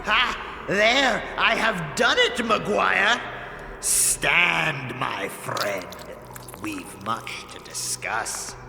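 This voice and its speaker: A pompous, aggrieved sorcerer, drawn out and theatrical, with a gravelly edge. His voice rings through a cavernous echo with music underneath, swinging between grand incantation and short temper in a fantasy audio drama. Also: on the high side, animated, very slow, sharp tone, slight background noise, full character voice. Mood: triumphant. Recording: echoey cave reverb, music underneath.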